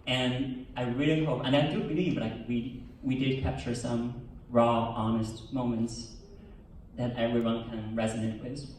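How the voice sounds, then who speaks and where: A man whose first language is Chinese speaks earnestly at a premiere Q&A, halting and restarting now and then. His voice sits in the middle range and comes from far off in a heavily echoing theater. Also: mid-range voice, little pitch movement, a little mellow, a little hiss or hum. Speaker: documentarian. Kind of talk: premiere Q&A panel remarks. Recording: echoey hall, from far off.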